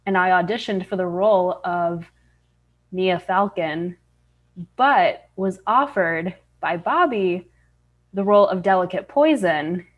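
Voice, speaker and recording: A woman's voice: fairly low pitch, slow pace, lo-fi sound. A woman, a voice actress, answering questions casually and modestly on a video call. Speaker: voice actress being interviewed. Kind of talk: casual interview chat. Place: indoors on a group video call.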